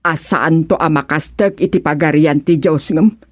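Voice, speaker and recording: Actress as devout, exasperated niece, dry studio sound. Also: female voice, sits low, normal speed, no echo, close to an everyday voice. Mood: dismayed, complaining. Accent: Filipino. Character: devout, exasperated niece worried about a wayward uncle. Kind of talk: Filipino radio serial drama acting.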